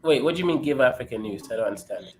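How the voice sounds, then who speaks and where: A man's voice: medium pitch, lively intonation, racing, a little hiss or hum. A man with a nasal voice, talking as a guest over a cutting-out internet call. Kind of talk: casual online discussion. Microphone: home internet call.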